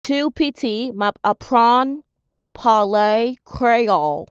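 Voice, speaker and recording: A man voicing a shy adult beginner who speaks with slow, careful, hesitant phrasing. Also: very high-pitched, clear, resonant tone, no room sound, highs cut off, voice pushed up.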